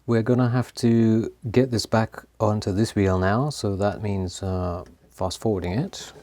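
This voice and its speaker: A man casually talking through a tape machine repair, laughing often and pausing with lots of ums. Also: lowish voice, mellow. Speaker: host of an electronics repair channel. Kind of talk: casual hands-on commentary.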